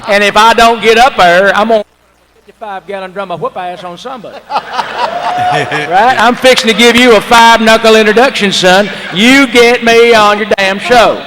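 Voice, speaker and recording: High-pitched man bantering before loud crowd. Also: moderate pace. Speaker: moderator. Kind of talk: casual panel banter. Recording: live convention hall, loud crowd.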